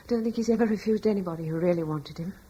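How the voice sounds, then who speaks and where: An actress playing a devout, gentle young wife in a radio drama, speaking in an earnest, tender and slightly hesitant way. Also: female voice, mid-range voice, brisk, a little hiss or hum, lightly acted. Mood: gentle reassurance. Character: devout, gentle young wife in ancient times, calmly reassuring.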